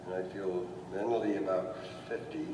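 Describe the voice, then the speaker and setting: A man in his eighties, speaking slowly and reflectively in a played video clip. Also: low-pitched, fairly even intonation, noisy background. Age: 80s. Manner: slow, reflective, chuckling. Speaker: interviewee in a video. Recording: echoey hall playback from far off.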